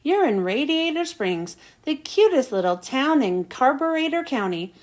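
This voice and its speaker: A woman's voice: high-pitched, sing-song, normal speed. A woman voicing a happy, folksy small-town tow truck in a children's story. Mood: cheerful. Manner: folksy, cheerful.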